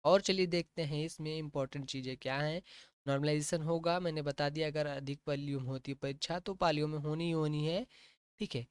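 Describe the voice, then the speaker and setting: A man with a fairly high, clean and resonant voice, recorded close and dry with no room sound. He explains exam rules step by step like a coaching teacher and keeps checking that his students follow. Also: steady tone, brisk, smooth, no echo. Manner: plain, repetitive explaining with frequent "ठीक है" checks. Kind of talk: explaining a job notification on screen.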